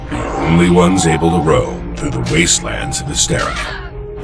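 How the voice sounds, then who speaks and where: A man's voice: low-pitched, gravelly, heavily acted. A man narrating a video game intro as a grave, theatrical teller of an apocalyptic horror tale, with music behind him. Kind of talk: dramatic scripted narration.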